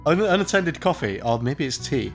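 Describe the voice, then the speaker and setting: A man's voice: a little high, sing-song, normal speed, music in the background. A man hosting a let's play, reading the game's text aloud with crude joking asides. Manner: swearing, laughing, reads narration with jokey asides.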